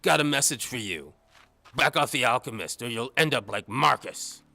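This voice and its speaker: A man's voice: mid-range voice, lively intonation, moderate pace, gravelly, bright, forceful tone, pitched above the performer's own voice. A man voicing a snide, taunting henchman in an audio drama, with music behind him. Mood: snide, threatening. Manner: taunting, menacing. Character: snide male thug henchman of a bishop.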